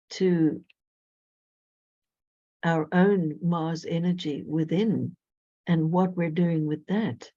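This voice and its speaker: Slow, flat-voiced woman astrologer. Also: deep voice, no echo. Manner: thoughtful, measured, with frequent "you know". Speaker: astrologer guest. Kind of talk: reflective conversational interview. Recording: dull lo-fi audio.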